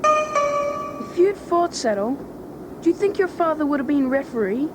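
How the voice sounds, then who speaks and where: A woman's voice: sits high, huge pitch swings, moderate pace, loud music behind the voice. A woman playing an eager, inquisitive teenage boy in a dramatised read-along story, talking in a casual, blunt way. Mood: curious.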